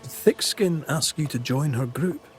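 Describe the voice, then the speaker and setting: Seasoned ghost hunter, Scottish accent, wry, plain-spoken, lively intonation, video game, music behind. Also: male voice, fairly high pitch, measured pace. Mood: probing, calm. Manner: dry, probing, plain-spoken.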